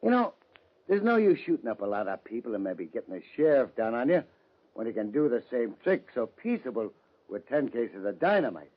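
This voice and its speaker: Vintage radio villain: self-satisfied crooked boss, husky medium voice, dull-sounding recording. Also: male voice, medium pitch, big pitch swings, brisk. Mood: smug, scheming. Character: smug, scheming western villain boss. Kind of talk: 1940s-50s radio western acting. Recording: muffled old-time radio recording.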